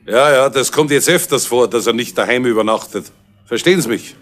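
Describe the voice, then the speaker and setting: A man's voice: mid-range voice, a little fast, a bit bright, soft background music, natural voice. Guy doing a gossipy informant, confiding and chatty, old radio play. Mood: gossiping. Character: Wanninger, chatty male police informant, gossipy. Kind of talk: 1970s German radio crime play.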